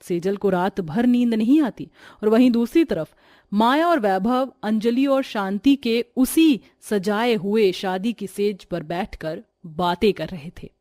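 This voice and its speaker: A woman's voice: mid-range voice, a little slow, warm tone, dry recording, studio quality. A woman narrating a scripted cartoon story as a storyteller.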